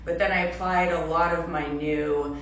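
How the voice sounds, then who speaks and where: A woman from Belgium in her forties chatting casually on a livestream as an artist, with a halting manner and trailing restarts. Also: sits low, fairly even intonation, a little hiss or hum. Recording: echoey room, distant mic, music behind.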